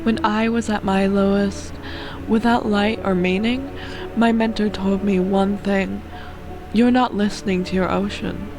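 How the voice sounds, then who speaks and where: A woman's voice: mid-range voice, level tone, unhurried, natural voice. Woman playing a serene mystical guru. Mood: serene, earnest. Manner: earnest, preachy. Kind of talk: amateur game character voicing. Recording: music under the voice.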